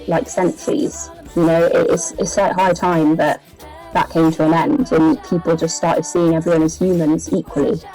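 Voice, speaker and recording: A woman's voice: lowish voice, mellow, rough recording. A woman with a Welsh accent giving casual interview answers, thinking aloud with some hesitation. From Wales. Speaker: singer-songwriter being interviewed.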